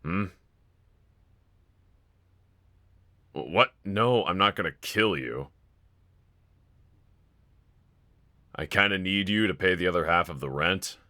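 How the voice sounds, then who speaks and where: A man's voice: deep voice, expressive, fairly quick, sharp tone, dry recording, studio quality, exaggerated voice. A man voicing a wanted young male villain in a fan audio roleplay, talking to one listener in a casual, sardonic, teasing drawl. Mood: exasperated, coolly menacing.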